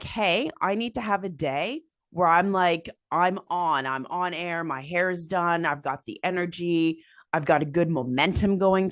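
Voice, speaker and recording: A woman hosting a solo podcast, raspy after being sick, talking in a chatty, upbeat way with lots of fillers and small laughs. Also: fairly low pitch. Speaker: podcast host. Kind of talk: solo podcast tips talk.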